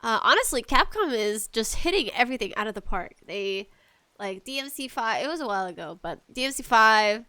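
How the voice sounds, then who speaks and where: Bright, high-voiced woman chatting casually. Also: lively intonation, fairly quick, clean tone, no room sound. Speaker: podcast host. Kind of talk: casual solo gaming chat.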